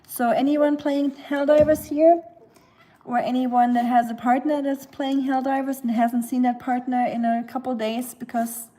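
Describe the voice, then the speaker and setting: A woman's voice: high-pitched, flat delivery, normal speed, mellow. A young woman from Germany with a German accent, chatting casually with livestream viewers while she cooks at home, giggling and cooing at her dog. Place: home kitchen.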